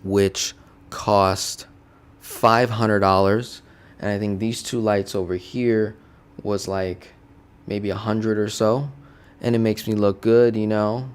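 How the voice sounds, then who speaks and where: Low-voiced, chatty male finance teacher. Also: little pitch movement, takes it slowly, clear, resonant tone. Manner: chatty, playful, peppers with "right?". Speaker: finance educator. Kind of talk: casual teaching walkthrough. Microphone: studio desk mic.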